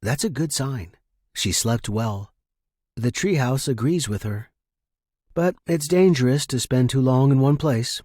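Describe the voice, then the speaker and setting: A man reading first-person fiction as a narrator, in a measured, deliberate and dramatic way. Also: mid-range voice, lively intonation, a little mellow, no echo, studio quality. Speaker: story narrator.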